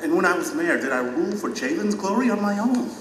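An actor playing a proud former mayor in an audio drama, bewildered, hesitant and earnestly questioning himself. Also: male voice, sits high, big pitch swings, quick pace, far from the mic, background noise. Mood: troubled doubt. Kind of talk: allegorical audio drama acting. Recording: echoey hall, music behind, off mic.

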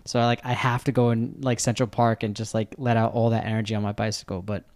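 A man's voice: fairly low pitch, flat delivery, fast, darkish tone. A man hosting a travel podcast, chatting casually with a guest and often restarting his questions mid-sentence. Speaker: podcast host. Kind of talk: casual interview chat.

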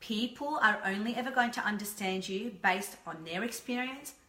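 A woman's voice: medium pitch, normal speed, pushed and bright. A middle-aged woman, a podcast guest, talking candidly and passionately, rambling and swearing freely. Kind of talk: candid conversational chat. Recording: echoey room.

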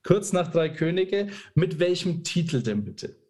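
Guy podcast host, kinda high voice, hesitant and jokey, relaxed chatty interview. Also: a little slow. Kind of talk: casual conversational interview.

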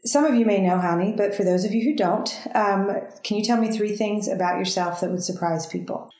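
A woman's voice: medium pitch, a little fast, darkish tone. A woman hosting a podcast, chatting in an upbeat, encouraging way with frequent ums. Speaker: podcast host. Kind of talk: casual interview. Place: language school. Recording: echoey room.

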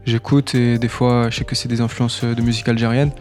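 A man's voice: medium pitch, little pitch movement, fast talker, darkish tone, faint music behind. A man, chatting casually and informally as a guest, often correcting himself. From born in Qatar, lived in Tunisia, grew up in France from age six. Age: 20s. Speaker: rap artist being interviewed. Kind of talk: casual chat, slangy.

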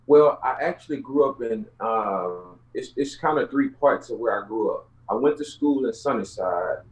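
A man's voice: mid-range voice, expressive, moderate pace, low-quality recording. A man from Houston with a Southern US accent, telling his own story casually as an interview guest in streetwise, slangy speech. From Houston, Texas, United States.

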